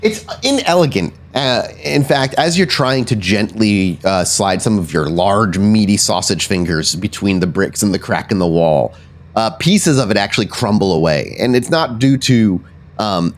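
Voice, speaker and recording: Low-voiced man running a tabletop game. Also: lively intonation, normal speed. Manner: descriptive, conversational. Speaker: game master. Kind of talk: improvised tabletop game narration.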